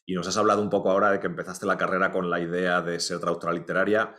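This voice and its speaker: Co-host interviewer: man, very low pitch, informal and conversational. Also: flat delivery, racing, bright, forceful tone.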